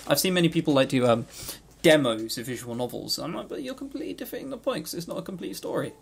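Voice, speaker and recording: A man's voice: a little high, sing-song, quick pace, vocal fry, husky. A man co-hosting a podcast, talking casually and informally, with opinionated but hesitant delivery. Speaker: podcast co-host. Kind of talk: casual game review chat.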